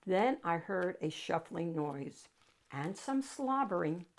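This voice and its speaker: A woman's voice: lowish voice, animated, takes it slowly. A woman narrating a children's picture book expressively as its reader and host.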